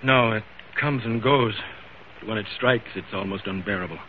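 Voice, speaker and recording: An actor playing an agitated, overworked middle-aged shipbuilder in a dramatic 1940s radio style, anxious and impatient. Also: male voice, lowish voice, normal speed, lightly acted. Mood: troubled. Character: agitated, overworked wealthy shipbuilder, a man past forty. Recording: muffled, hissy old radio recording.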